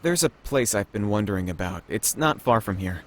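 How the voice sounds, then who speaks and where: A man's voice: lowish voice, expressive, normal speed, noisy background, pitched below the performer's own voice, close to an everyday voice. A man voicing an earnest, wistful male android companion in a visual novel, with music behind him. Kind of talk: visual novel character reading.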